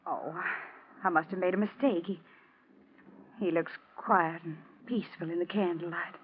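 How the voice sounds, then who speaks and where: An actress playing a poised, enigmatic young housekeeper with genteel speech in a 1940s radio drama. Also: female voice, lowish voice, fairly even intonation, lightly acted. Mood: composed, enigmatic. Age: young adult. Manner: genteel, measured, theatrical. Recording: muffled, hissy 1940s radio recording.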